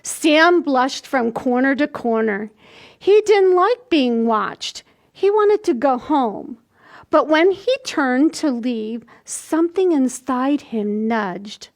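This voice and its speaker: An older woman in her sixties hosting a children's storytime, reading aloud and explaining things simply and deliberately for small children. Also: sits high, lively intonation. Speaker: library storytime host. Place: small indoor room.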